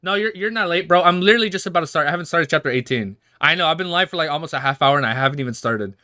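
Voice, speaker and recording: A man's voice: on the high side, very fast, pushed and bright, dry recording, studio quality. A young man in his twenties hosting a livestream, chatting casually and reading a novel aloud, rambling and swearing with easy laughs. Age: 20s. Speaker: livestream host.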